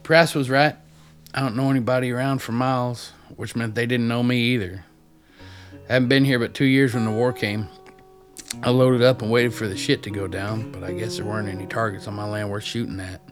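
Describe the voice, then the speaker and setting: An actor playing a reclusive, rough-mannered ex-addict loner with a wry, folksy way of talking in a radio drama. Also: male voice, mid-range voice, fairly even intonation, brisk, soft background music, natural voice. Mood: guarded, wry. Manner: folksy, plain-spoken, wry.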